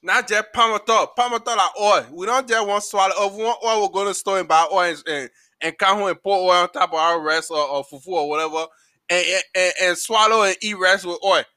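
A man's voice: high voice, a little fast, pushed and bright. A Liberian man with a Liberian accent hosting a solo podcast, ranting emphatically and repeating words as he gets heated. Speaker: podcast host.

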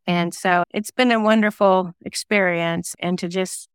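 A woman's voice: lowish voice, fairly even intonation, measured pace, smooth, dry recording, studio quality. A woman giving reflective, earnest answers as a guest in a conversational interview. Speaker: guest, singer-songwriter and novelist.

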